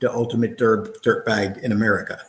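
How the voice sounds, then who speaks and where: A man's voice: fairly low pitch, little pitch movement, brisk, fairly bright tone. A man hosting a casual livestream, talking in a blunt, combative, streetwise way. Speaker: show host. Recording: roomy, echoey sound.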